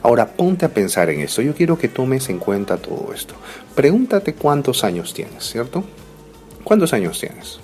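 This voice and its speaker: A man's voice: mid-range voice, big pitch swings, moderate pace, darkish tone, soft background music. A man in his thirties giving a reflective motivational talk, speaking straight to the listener and often asking rhetorical questions. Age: 30s.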